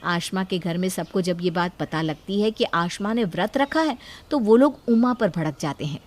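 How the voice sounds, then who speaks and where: A woman's voice: on the low side, lively intonation, moderate pace. A woman storyteller reading a scripted moral story as narrator.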